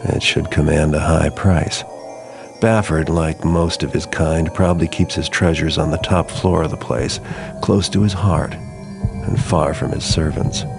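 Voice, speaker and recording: Very deep, dry, cynical master thief. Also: male voice, steady tone, gravelly, exaggerated voice. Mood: wry, calculating. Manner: dry, understated, unhurried sardonic delivery. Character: cynical, world-weary male master thief narrating his jobs. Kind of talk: scripted video game cutscene narration. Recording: music behind the voice.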